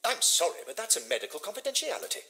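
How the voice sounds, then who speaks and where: Animated, bright-voiced British Victorian doctor. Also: male voice, high voice, fast, a little hiss or hum, full character voice. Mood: firm, polite. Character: smooth, affable but guarded Victorian physician to a lord. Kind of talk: scripted video game dialogue acting.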